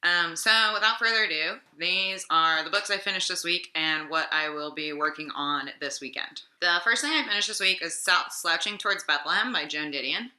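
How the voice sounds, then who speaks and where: A woman's voice: lowish voice, normal speed, hardly any pauses, bright, forceful tone. A young adult woman vlogger chatting casually about her week's reads, sounding a little sick and rambling with lots of fillers. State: slightly sick voice. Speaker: book vlogger. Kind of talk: casual weekly book talk. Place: home room. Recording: echoey room.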